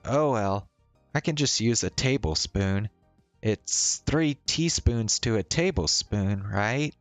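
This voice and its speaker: Man reading as a breezy young woman, slow-paced. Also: lowish voice, expressive, no echo. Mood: breezy, playful. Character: young woman, casual, chatty, playful story-game heroine. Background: faint music.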